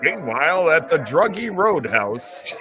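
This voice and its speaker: A man's voice: fairly high pitch, sing-song, a little slow, music in the background. A man co-hosting, giving casual, joking reaction commentary. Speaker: co-host.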